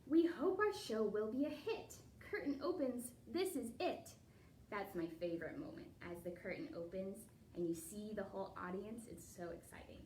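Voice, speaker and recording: A woman's voice: mid-range voice, normal speed, slight background noise. A woman leading a children's storytime and ballet class at home, speaking in an encouraging, instructive way straight to the children. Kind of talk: storybook reading and guided stretch class for young children. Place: living room at home. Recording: roomy, distant home recording with loud music.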